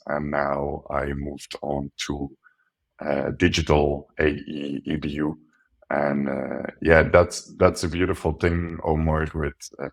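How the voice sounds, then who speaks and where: A man from coastal Belgium, talking earnestly and reflectively as an interview guest about his sales career. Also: a bass voice. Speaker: guest, sales account executive. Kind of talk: casual interview talk.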